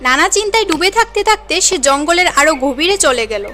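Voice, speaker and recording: A woman tells a cartoon tale in scripted, dramatic narration. Her voice is notably high, with faint music playing underneath. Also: sing-song, quick pace, bright, forceful tone. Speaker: story narrator.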